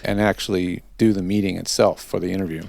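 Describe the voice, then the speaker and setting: A man's voice: low-pitched, level tone, fast talker, gravelly, darkish tone, no echo, studio quality. A man hosting a casual interview, talking in a conversational, explanatory way. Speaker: host interviewer. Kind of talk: casual interview chat about recording.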